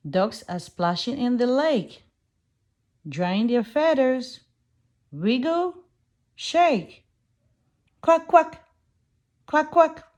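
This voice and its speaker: A woman reading a picture book aloud to young children in an expressive way, putting on animal sounds. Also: sits high, very slow, long pauses.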